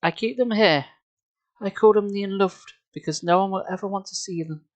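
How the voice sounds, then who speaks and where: A reader voicing a sad, bitter male mask-shop owner who speaks softly and slowly, in a storybook reading. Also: medium pitch, no room sound, voice pushed up, lightly acted. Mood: solemn. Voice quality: soft, hushed.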